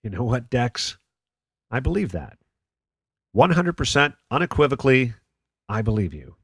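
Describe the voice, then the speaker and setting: A man's voice: medium pitch, sing-song, moderate pace, husky, dry recording. A man playing a jaded, sarcastic call-in radio host in a radio play. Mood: sardonic. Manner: dry, sarcastic. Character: sardonic, jaded male conspiracy talk radio host. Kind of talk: radio play acting as talk radio.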